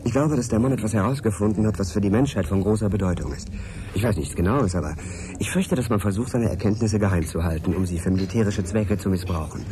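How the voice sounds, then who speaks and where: An actor playing an inquisitive, courteous middle-aged journalist in a naturalistic radio drama, probing and earnest in conversation. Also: male voice, on the low side, quick pace, noisy background, natural voice. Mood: earnest, determined. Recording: crowd chatter behind, café scene. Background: café crowd chatter.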